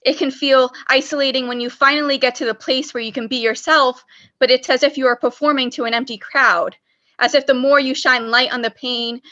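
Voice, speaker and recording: A woman's voice: on the high side, pushed and bright. Earnest woman reading poetry over muffled call audio. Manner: measured, earnest recitation. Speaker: open mic poet. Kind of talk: spoken word poetry reading. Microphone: video call.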